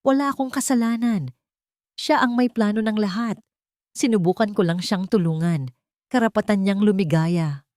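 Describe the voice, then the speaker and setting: A woman acts out a deceitful female witness in an audio drama, cornered and defensive. Her agitated protests are pitched up, in a very dry recording. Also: moderate pace, a little mellow, no echo, natural voice. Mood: desperate, shouting.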